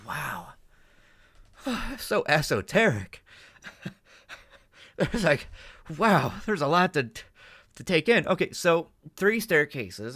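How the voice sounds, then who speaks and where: A man giving casual reaction commentary, thinking aloud in a halting, tentative, musing way. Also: fairly high pitch, fairly bright tone, dry recording. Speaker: host of a reaction channel.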